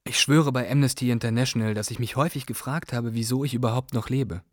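An actor playing an earnest, wondering young refugee in a radio drama monologue, speaking in a questioning way. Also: male voice, mid-range voice, fairly even intonation, quick pace, soft background music, close to an everyday voice. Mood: earnest, puzzled. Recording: clear outdoor ambient noise.

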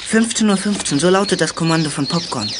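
A man's voice: high voice, expressive, fast talker, noisy background. An actor playing a keen, cheeky boy detective sidekick with a colloquial manner in a German youth radio play. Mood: eager.

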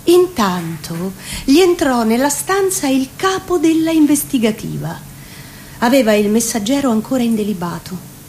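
A woman's voice: a little high, very animated, moderate pace, darkish tone, slight background noise. A woman reciting literary prose as a narrator in a theatrical reading. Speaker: reciting voice in a radio theatre show.

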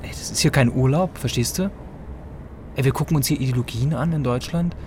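A man's voice: medium pitch, a little fast. A man from East Germany arguing casually, insistent and slangy. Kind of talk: casual argumentative chat. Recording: clear vehicle and street noise.

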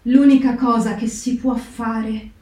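A woman playing a humble, reflective young diarist who has known despair, in a theatre reading with deliberate pauses. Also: on the high side, steady tone, a little mellow. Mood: resolute, reflective. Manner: deliberate, pausing phrasing. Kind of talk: slow theatrical diary reading. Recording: echoey stage, slightly distant microphone.

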